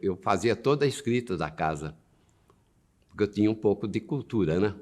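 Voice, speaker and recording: A man in his nineties, a war veteran, recalling his youth slowly with pauses and fillers. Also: on the low side, animated, a little mellow. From Brazil. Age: 90s. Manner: slow, halting, with many pauses and fillers.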